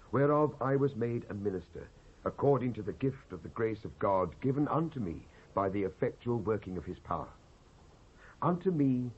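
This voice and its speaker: A British man giving a solemn, declamatory dramatised scripture reading in measured phrases with pauses. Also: mid-range voice, slightly rough. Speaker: Bible reader, actor. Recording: dull lo-fi cassette transfer.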